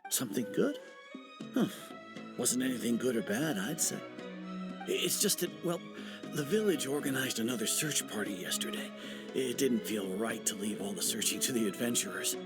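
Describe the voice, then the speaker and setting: A man playing an easygoing, chatty villager in a video game, talking in a casual, musing way over soft music. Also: mid-range voice, lively intonation, normal speed, natural voice. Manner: casual, musing, hesitant storytelling. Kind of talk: video game dialogue acting.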